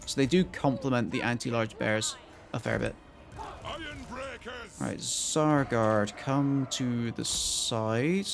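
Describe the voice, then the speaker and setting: A male streamer with a croaky voice, chatting casually to his viewers as he thinks aloud and plans his moves in a strategy game. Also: mid-range voice, slow, over music. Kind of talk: casual gameplay commentary to chat.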